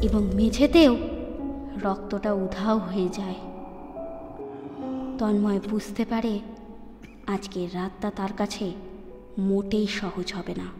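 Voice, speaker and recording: A woman's voice: medium pitch, takes it slowly, long pauses. Echo-drenched woman narrating a suspenseful ghost story. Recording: echoey long reverb over clear music. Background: background music.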